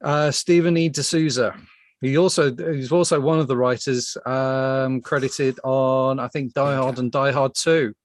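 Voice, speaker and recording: A man's voice: medium pitch, steady tone, measured pace, no room sound. Wry male host, very dry sound. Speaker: podcast host. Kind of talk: casual panel moderating.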